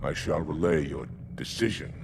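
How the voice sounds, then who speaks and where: An actor playing a proud, dutiful alien warrior commander in a video game, gravelly and formal with military gravity. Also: male voice, rumbling low, moderate pace, background noise. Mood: restrained, concerned. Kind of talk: cinematic video game acting.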